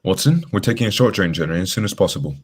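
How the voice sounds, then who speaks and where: Radio mystery lead: composed, matter-of-fact detective, played by a man, deep voice, fast clipped delivery. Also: expressive, slightly rough. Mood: shrewd, probing. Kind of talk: radio drama acting.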